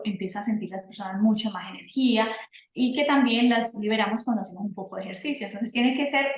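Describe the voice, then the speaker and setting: A woman with a middle-pitched voice explains health topics fluently as an interview guest. Her voice rings in a noticeably echoey room. She gives clear, advisory explanations. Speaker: nutrition guest expert.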